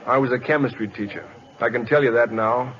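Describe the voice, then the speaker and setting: A man with an American accent playing a modest, educated teacher turned pitcher in radio drama, polite and hesitant. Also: a little fast, lightly acted. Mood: resigned. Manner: polite, hesitant, well-spoken. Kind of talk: 1940s radio drama acting. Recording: dull old radio recording.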